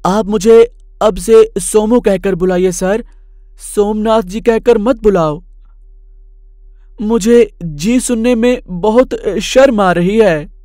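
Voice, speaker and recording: Guy doing whiny sorry servant man for a cartoon story, high pitched, pleading and super deferential. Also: slow, mellow, dry recording, studio quality. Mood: ashamed, pleading. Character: whiny, ashamed, repentant male shop servant. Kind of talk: cartoon moral story dialogue.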